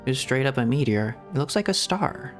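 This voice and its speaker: A man streaming a video game, thinking aloud in a rambling, reflective way with hedges and soft laughs. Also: medium pitch, animated, normal speed, music in the background. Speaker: VTuber streamer. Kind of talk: casual gameplay commentary.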